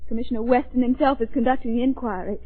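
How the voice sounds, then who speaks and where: A woman's voice: fairly high pitch, steady tone, racing, lightly acted. An actress playing an anxious, well-bred young lady in dramatic, earnest 1930s radio style on a tinny old recording. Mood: distressed.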